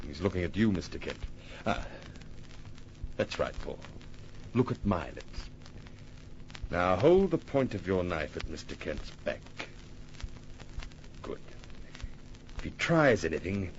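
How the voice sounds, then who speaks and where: A cold-blooded gentleman villain with a very low voice that swings widely in pitch. He is polite and menacing, taking his time over each threat, in a vintage radio crime play with dull, hissy sound. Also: big pitch swings, takes it slowly, pauses often, exaggerated voice. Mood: commanding. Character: suave, cold-blooded murderous impostor twin with a gun. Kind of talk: old-time radio crime drama acting. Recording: muffled, noisy old radio recording.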